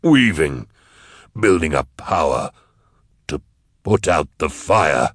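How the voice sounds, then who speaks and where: Guy doing a deep, husky, super slow inquisitor who sounds far gone, dry close sound. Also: lively intonation, no echo, full character voice. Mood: distant. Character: gravel-voiced entranced male Inquisitor.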